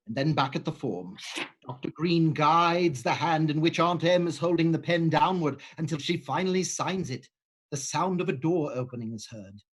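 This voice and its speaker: Man reading stage directions plainly. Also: on the high side.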